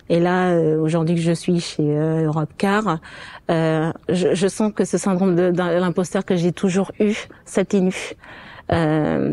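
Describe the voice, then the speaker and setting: A woman with a fairly low, mellow voice shares her own story in an interview. She comes from Portugal and is not a native speaker of the language. Her delivery is hesitant, often stopping and starting again. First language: not French. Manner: hesitant, frequent stops and restarts. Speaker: interview guest, quality manager. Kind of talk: personal testimony in conversation.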